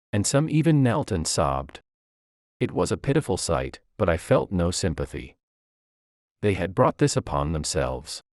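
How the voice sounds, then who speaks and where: Very deep-voiced man narrating a story. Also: darkish tone, no room sound, clean studio sound. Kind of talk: scripted first-person story narration.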